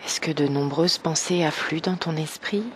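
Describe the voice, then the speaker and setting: A woman guiding an evening meditation slowly and gently, in a soft, breathy voice that nears a whisper, with long pauses. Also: deep voice, fairly even intonation, slight background noise. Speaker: meditation guide. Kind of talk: guided evening meditation, yoga nidra.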